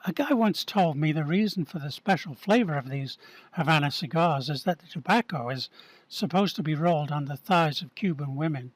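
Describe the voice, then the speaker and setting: A man's voice: on the high side, husky. A man reading the part of a restless, divorced cigar smoker who rambles and questions himself. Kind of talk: amateur radio-play reading.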